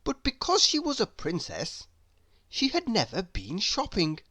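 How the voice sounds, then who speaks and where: A woman reading a children's story aloud in an expressive, deliberate, theatrical way. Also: mid-range voice, slightly rough, dry recording. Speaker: storybook reader.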